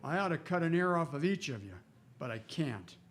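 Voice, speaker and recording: An actor playing a firm, fair-minded frontier marshal in a western radio drama. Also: male voice, fairly high pitch, big pitch swings, a little fast, a bit bright, slight background noise. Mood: stern. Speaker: actor and narrator.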